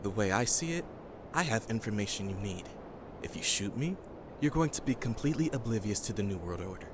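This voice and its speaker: A man's voice: fairly low pitch, animated, brisk, noisy background, lightly acted. Stern, guarded young survivor played by a man. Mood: firm, threatening. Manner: serious, terse, exasperated. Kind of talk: audio drama acting.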